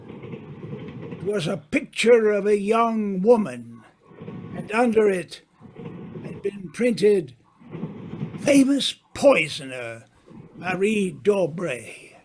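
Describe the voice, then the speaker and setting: A man playing a suave, knowing mystery writer in an old-time radio drama, speaking deliberately with dramatic pauses. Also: sits high, big pitch swings, darkish tone, slight background noise, full character voice. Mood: hushed suspense. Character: suave, theatrical male mystery writer, a knowing dinner guest.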